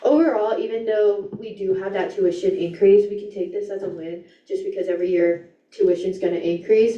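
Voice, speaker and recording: A woman's voice: medium pitch, mellow. Young woman giving a casual report, echoey. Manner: casual, reads notes with ums and slips. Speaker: student government officer giving legislative report. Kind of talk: meeting report. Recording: echoey room, distant mic, dull sound.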